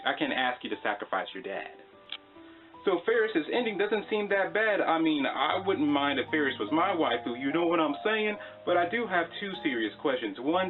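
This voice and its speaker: A man's voice: mid-range voice, fairly bright tone, lo-fi sound. A man hosting a video, giving an informal, conversational explainer commentary and repeating phrases for emphasis. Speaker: video host.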